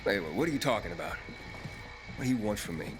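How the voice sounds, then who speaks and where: A man's voice: on the low side, animated, fast, natural voice, poor audio. An actor playing a wary, blunt and indignant young male survivor in a video game, with music behind him.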